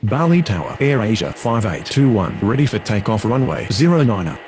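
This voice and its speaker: Casting: male actor as game aviation radio voice, animated intonation, formulaic phraseology, slight background noise. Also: fairly low pitch, fairly quick. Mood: procedural. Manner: formulaic, procedural. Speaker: air traffic radio voice.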